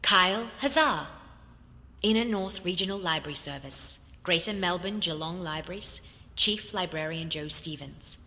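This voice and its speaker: A woman's voice: lowish voice, normal speed, sharp tone. A woman playing an automated archive system in an audio drama, announcing in an impersonal, formal, clipped way. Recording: long hollow reverb.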